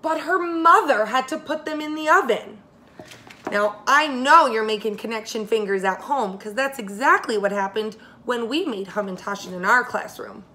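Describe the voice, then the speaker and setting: A woman preschool teacher reading a story aloud to young children, expressive and speaking to them directly. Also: on the high side, normal speed, a little hiss or hum.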